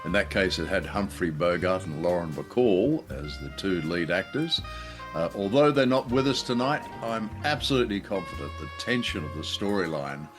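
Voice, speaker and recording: A man's voice: sits low, animated, normal speed, over music. A man hosting a show, giving a scripted introduction. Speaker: podcast host.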